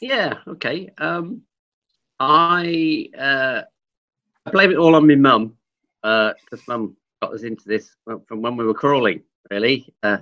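A bright, forceful-sounding man from England, a guest on a podcast interview. He talks in a lively, upbeat way with easy chuckles, and he sounds like he is on a video call. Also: medium pitch, measured pace, muffled recording.

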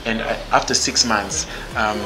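A man with a Zimbabwean English accent and a fairly low voice talks as a podcast guest. He is outspoken and blunt, and he restarts his sentences often as he thinks aloud. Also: fairly even intonation, measured pace, over music, poor audio. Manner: many fillers and restarts. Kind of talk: candid interview conversation.

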